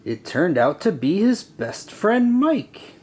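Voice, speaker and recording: A man reading a rhyming children's story aloud in an expressive, deliberate, sing-song way. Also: high-pitched. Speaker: storybook reader. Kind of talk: children's read-aloud narration.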